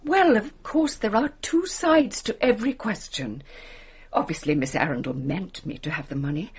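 An actress playing a fussy, genteel English lady companion in a radio drama, hesitant, apologetic and gossipy, with a breathy English voice. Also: mid-range voice, normal speed. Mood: uneasy, defensive. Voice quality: breathy, husky. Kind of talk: British radio drama acting.

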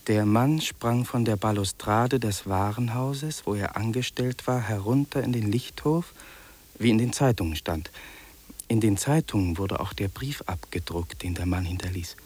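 A lonely, jobless young dreamer in a 1950s radio play. His voice is low, dark and husky, anguished and earnest as he confides his despair. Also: moderate pace. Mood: sombre, confiding.

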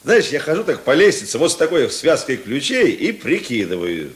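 An actor playing a hearty, dreamy, slightly weary police chief in a naturalistic radio drama, musing conversationally. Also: male voice, mid-range voice, huge pitch swings, normal speed.

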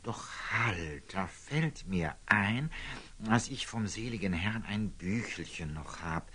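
Husky, slow, humble lay brother. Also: male voice, on the low side, animated, bright, forceful tone, heavily acted. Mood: earnest, pondering. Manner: simple, halting, deliberate. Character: humble, illiterate lay brother, simple and kind-hearted. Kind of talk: classical stage verse in a radio drama.

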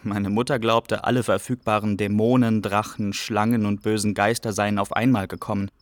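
An actor playing a man who soberly recalls a wartime childhood, in measured radio drama narration. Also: lowish voice, flat delivery, dry recording, clean studio sound, lightly acted. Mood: sober, remembering.

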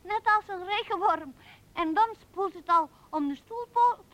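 An imaginative small child in a 1970s radio drama. The voice is extremely high and bright, earnest and excitable in its storytelling. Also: female voice, normal speed. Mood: earnest pretending.

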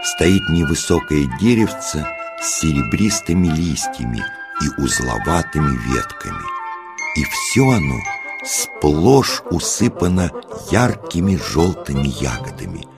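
A man narrating a scripted children's tale in an expressive, deliberate storyteller's delivery. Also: very deep voice, exaggerated voice. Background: music.

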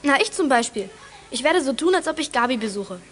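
A woman playing a plucky, quick-witted teenage girl detective in a children's audio drama, pert and defiant. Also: high voice, big pitch swings, a bit bright. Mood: confiding, brisk. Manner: pert, defiant, matter-of-fact.

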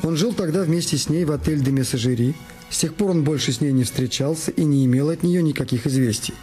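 A man narrates a radio crime drama in a fairly high male voice. Music plays clearly behind his scripted reading. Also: fast. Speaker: radio play narrator and actor.

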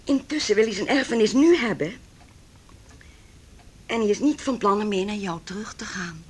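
A woman's voice: medium pitch, lively intonation, moderate pace, a little mellow, husky. An actress playing a cold, wealthy middle-aged mother in a Dutch radio play, speaking in a formal, condescending, cutting way. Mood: scornful, firm. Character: haughty, cold, wealthy mother opposing her son's marriage.

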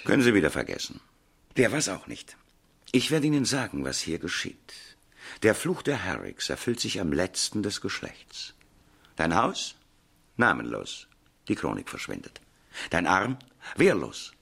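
An actor playing a pompous American professor of the occult in a 1970s German radio crime drama, with a husky, gravelly voice and clipped, ominous pronouncements. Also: male voice, low-pitched, huge pitch swings, unhurried, fairly bright tone, heavily acted. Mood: dismissive, ominous.

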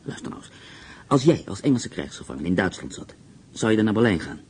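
A man's voice: fairly low pitch, sing-song, fairly quick, a little mellow, slight background noise. An actor playing a confident, scheming male prisoner of war on the run who talks in a persuasive, mocking way, in a radio play.

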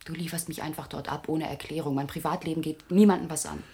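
Young female radio-drama role actress: deep for a woman, terse, restrained, loyal and proud. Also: fairly even intonation, fast talker, mellow, close to an everyday voice. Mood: guarded. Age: young adult. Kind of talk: German radio crime drama acting.

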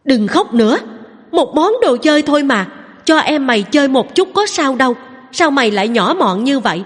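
Woman doing a sharp angry young mother. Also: sits high, lively intonation, moderate pace, long reverb tail, voice pushed up. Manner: shouting, scolding.

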